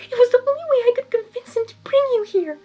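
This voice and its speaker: A woman voicing a timid young female pony who is heartbroken and defiant, in a high, thin, tearful voice with emphatic, pleading delivery. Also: lively intonation, fast talker, warm tone, faint music behind. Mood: angry, upset.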